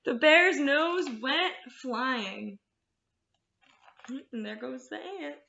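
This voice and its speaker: A woman hosting storytime as a children's librarian, expressive and encouraging as she reads and explains to little ones. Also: high-pitched, slow pace, creaky, a little hiss or hum. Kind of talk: storytime read-aloud and teaching rhymes to children. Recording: echoey room, slightly muffled sound.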